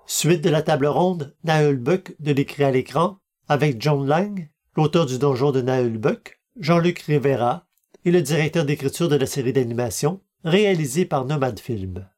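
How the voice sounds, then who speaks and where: A man's voice: on the high side, lively intonation, normal speed, a little mellow. A man reading scripted announcements and introductions as a podcast announcer. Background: music bed.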